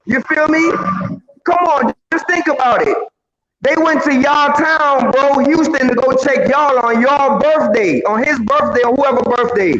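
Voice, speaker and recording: A man in his forties from Brooklyn, calling into a live stream and giving animated, heated street-talk commentary, always adding "you know what I'm saying". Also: very high-pitched, a little slow, dull audio. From Brooklyn, New York. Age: 40s. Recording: roomy, noisy call audio that cuts in and out.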